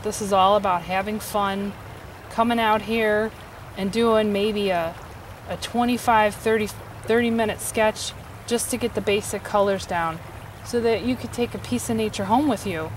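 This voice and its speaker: A woman in her forties teaching a quick watercolor sketch outdoors, explaining each step in a relaxed, encouraging way. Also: medium pitch. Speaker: watercolor instructor. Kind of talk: outdoor painting demonstration. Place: outdoors by a stream. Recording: faint stream noise behind.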